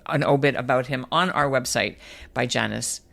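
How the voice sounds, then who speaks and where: A man's voice: mid-range voice, normal speed, vocal fry, fairly bright tone. A man co-hosting a casual news podcast, leading the conversation through the segments. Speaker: podcast co-host.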